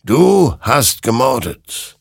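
Man as a slow, ominous spectral rider of death. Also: fairly low pitch, very animated, creaky, a bit bright, breathy edge, no room sound, studio quality, voice pushed up, exaggerated voice. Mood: accusing. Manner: slow, drawn-out, menacing. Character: ominous, all-knowing spectral rider of death, solemn and accusing.

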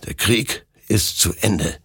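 Here is a man playing a spectral horseman of death for an audiobook tale. He speaks very slowly and solemnly, with a husky edge, as an all-knowing, ominous being of smoke and flame. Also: fairly low pitch, fairly bright tone, dry recording, studio quality, heavily acted. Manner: solemn, slow, pronouncing doom.

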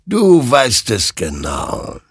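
A man voicing an all-knowing rider made of burning souls in a dark story, slow, commanding and portentous. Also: mid-range voice, huge pitch swings, husky, dry recording, clean studio sound, voice pushed up, heavily acted. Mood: ominous.

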